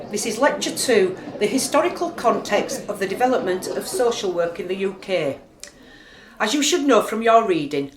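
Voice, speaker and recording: A woman's voice: mid-range voice, a bit bright, close to an everyday voice. A woman playing a stern, exasperated university lecturer in a radio play, formal and admonishing. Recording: roomy amateur recording, faint background noise.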